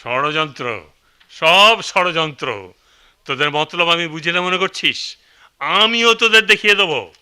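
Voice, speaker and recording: A scheming middle-aged uncle in a radio drama. His voice is fairly high and extremely sharp. He is sarcastic, blustering and quick to anger. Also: animated, clear, resonant tone, full character voice. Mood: furious. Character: greedy, deceitful, short-tempered elder uncle. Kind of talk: Bengali radio play acting.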